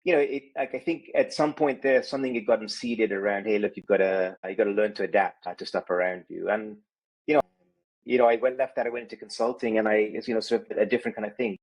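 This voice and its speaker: A man from Kenya talks through his background as a podcast guest, his voice sitting on the low side. He speaks in a thoughtful, winding way, dotting his sentences with "you know". The sound is that of a video call. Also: steady tone, fast talker, a little mellow, poor audio. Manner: thoughtful, meandering, many "you know" fillers. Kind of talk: reflective conversational storytelling.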